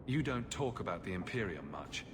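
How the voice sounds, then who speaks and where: An actor playing a confident, wry champion in a video game, direct and a little flippant. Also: male voice, lowish voice, sing-song, fairly quick. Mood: earnest. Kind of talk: video game cutscene dialogue. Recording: clear background noise.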